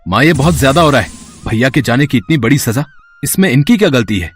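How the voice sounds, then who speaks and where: A man voicing earnest, caring young men of a troubled family in an animated melodrama. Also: medium pitch, fast talker, breathy edge, faint music behind. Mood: indignant.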